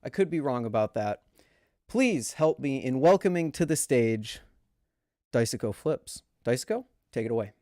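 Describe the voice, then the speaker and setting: A man's voice: mid-range voice, animated, normal speed, a little mellow, no echo, clean studio sound. A man hosting an awards stream for a live chat, presenting in a theatrical, jokey way with mock exasperation. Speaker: awards show host.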